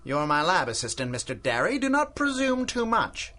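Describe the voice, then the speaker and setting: A man's voice: a little high, wildly swooping pitch, moderate pace, bright, forceful tone, exaggerated voice. A man playing an obsessed older scientist in a radio drama, formal and patronizing in manner, courteous yet ruthless. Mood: imperious. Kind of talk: 1930s-style radio drama acting.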